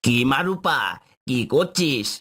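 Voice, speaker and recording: A man playing a caring, concerned father in a fairy-tale cartoon, with a gentle manner. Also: on the high side, expressive, takes it slowly, breathy edge, full character voice.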